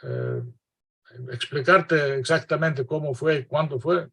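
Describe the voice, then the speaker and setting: A man's voice: a little high, wildly swooping pitch, slow, fairly bright tone. An older man from Greece, a linguist guest answering interview questions over a video call, hesitant and full of fillers and restarts, laughing often.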